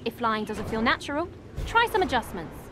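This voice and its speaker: Encouraging, lively young sports coach, high voice. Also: female voice, normal speed, noisy background. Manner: encouraging, instructive. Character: encouraging young woman Quidditch player coaching beginners. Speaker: game tutorial guide. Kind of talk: scripted video game tutorial narration. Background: music.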